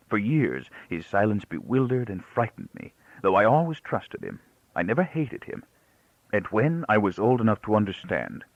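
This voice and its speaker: A man voicing a grieving, pious old rabbi and father in an audiobook, speaking quietly and haltingly with solemn, emphatic repetition. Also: fairly low pitch, vocal fry, no echo, phone-quality sound, full character voice.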